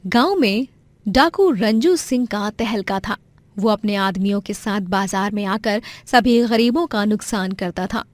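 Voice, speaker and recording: A woman's voice: mid-range voice, unhurried, no room sound. A woman narrating a scripted moral story in a dramatic storytelling style.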